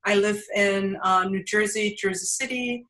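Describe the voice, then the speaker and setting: A woman's voice: mid-range voice, fairly even intonation, a little slow, a bit bright. A woman in her forties from Germany with a German accent, chatting as a podcast guest, laughing often and a little nervous. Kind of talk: casual interview chat.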